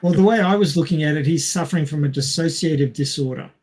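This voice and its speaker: A man's voice: on the high side, fast talker, a little mellow. A man on a podcast panel, explaining his view in casual discussion.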